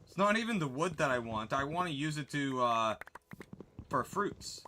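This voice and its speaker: Male game streamer, bright voice and animated delivery, chatty and self-correcting, with faint music under him. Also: a little high, normal speed, slight background noise. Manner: chatty, answers chat, corrects himself mid-sentence. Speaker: livestreamer. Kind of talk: casual gaming livestream chat.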